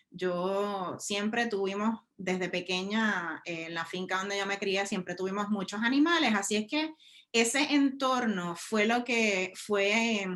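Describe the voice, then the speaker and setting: A woman's voice: mid-range voice, fairly bright tone. A woman from Puerto Rico with a Puerto Rican Spanish accent, giving a casual webinar talk over a video call, often restarting her phrases. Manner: conversational, frequent restarts and fillers. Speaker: guest speaker, scientist. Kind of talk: webinar presentation.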